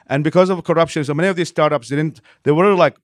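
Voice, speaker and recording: A man from Iraq speaks as an in an interview. He talks quickly and at length, reworking his sentences as he explains. The sound is close and dry, with no room around it. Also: a little high, dry recording. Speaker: interview guest, nonprofit leader.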